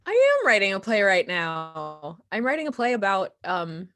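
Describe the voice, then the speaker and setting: A woman's voice: mid-range voice, a little slow. An American woman speaking casually and giggly, answering questions after a show as an actor and playwright. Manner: giggly, animated, informal. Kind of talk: casual post-show talk.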